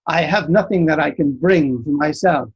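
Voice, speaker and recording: A man storyteller voicing a boastful male giant who speaks in slow, loud challenges. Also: a little high, husky, muffled recording.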